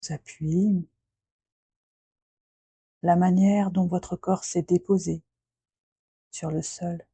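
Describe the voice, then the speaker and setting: Female meditation guide: slow, soothing delivery with long pauses, soft breathy tone, close dry sound. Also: lowish voice, fairly even intonation, no echo. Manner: gentle, unhurried, with long pauses between short phrases. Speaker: yoga nidra guide.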